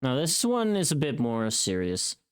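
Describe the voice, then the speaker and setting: Casting: live streamer, medium-pitched voice, offhand working chatter, murmured asides and repeated words. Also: wildly swooping pitch, moderate pace, clean tone. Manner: muttering to self, repeating words. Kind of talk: casual live stream chat while working.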